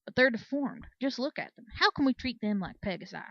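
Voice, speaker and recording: A woman's voice: fairly high pitch, lively intonation, a little fast, dry recording, muffled recording. A woman voicing a young, scornful male Pegasus soldier in a storybook, grumbling and impatient. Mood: impatient, uneasy.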